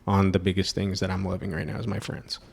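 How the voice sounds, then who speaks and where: A young man in his twenties, co-hosting a podcast in a relaxed, rambling chat full of fillers and restarts. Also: deep voice, fast talker, creaky, breathy edge. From Mexican heritage. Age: 20s. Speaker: podcast co-host. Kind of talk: casual chat.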